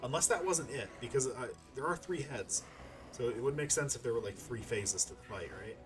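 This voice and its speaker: A man's voice: mid-range voice, fairly quick. A man giving casual, chatty let's play commentary, thinking aloud over game music. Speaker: gaming video host.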